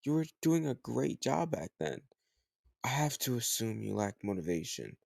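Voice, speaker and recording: A man playing a teasing, slightly older home tutor who gently chides the listener in a conversational, intimate roleplay audio drama. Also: mid-range voice, very animated, normal speed, creaky, dry recording, clean studio sound. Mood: gently chiding.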